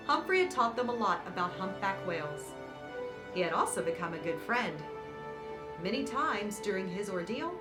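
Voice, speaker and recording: A woman reading a picture book aloud to children as host, with dramatic emphasis and warm, encouraging asides. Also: mid-range voice. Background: background music.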